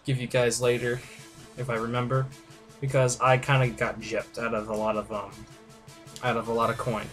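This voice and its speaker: A man's voice: mid-range voice, little pitch movement, moderate pace, fairly bright tone. A man giving casual, rambling gaming commentary, hesitant and thinking aloud as he hosts a playthrough. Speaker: host of a game playthrough video. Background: game music.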